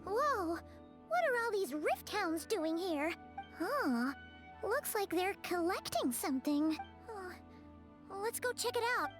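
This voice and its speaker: A woman voicing a small, excitable, anxious fairy companion in a video game, with a squeaky childlike voice and a chatty, exclaiming manner. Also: slow pace, soft background music, heavily acted. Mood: curious. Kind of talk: video game character acting.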